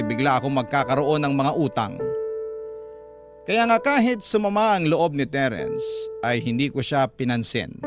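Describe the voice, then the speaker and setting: A man's voice: mid-range voice, close to an everyday voice. A man reading a letter as scripted first-person love story narration on radio. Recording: music bed behind voice. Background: instrumental music.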